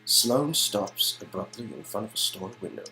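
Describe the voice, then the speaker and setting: A man's voice: on the low side, big pitch swings, moderate pace, bright, forceful tone, soft background music, poor audio. A man reading scripted second-person narration for an interactive story.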